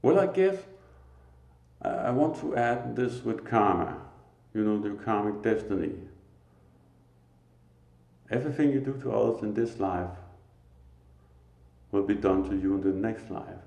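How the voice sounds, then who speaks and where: Slow, gravelly Danish-accented man preaching. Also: on the low side, expressive, a little mellow. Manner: slow and earnest, pausing often. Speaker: lay preacher. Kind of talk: informal spiritual talk. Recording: echoey room.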